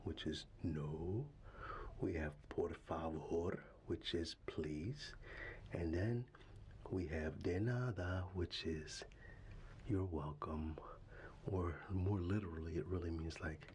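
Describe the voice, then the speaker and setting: A man doing an ASMR fatherly roleplay, talking softly and half-whispering into a close microphone, tender, with halting restarts. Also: deep voice. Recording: steady ambient background noise.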